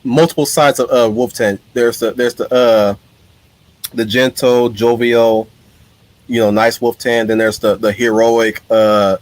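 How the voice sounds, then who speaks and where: A man's voice: medium pitch, fairly even intonation, unhurried, no echo, low-quality recording. Blunt man hosting a live stream. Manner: blunt, repeats words while starting sentences. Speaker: stream host. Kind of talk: casual live-stream chat.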